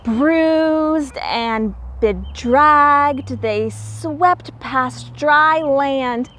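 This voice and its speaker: Female storybook reader, high voice, very unhurried; theatrical, emphatic teacher speaking simply to young children. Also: fairly bright tone. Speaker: teacher reading a picture book aloud to children. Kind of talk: expressive children's storybook read-aloud.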